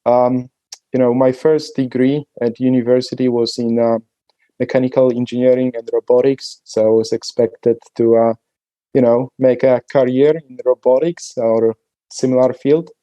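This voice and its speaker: A man from Poland, speaking as a podcast guest in a relaxed, hesitant way with many fillers. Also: mid-range voice, normal speed, dry recording, lo-fi sound. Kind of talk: conversational podcast interview.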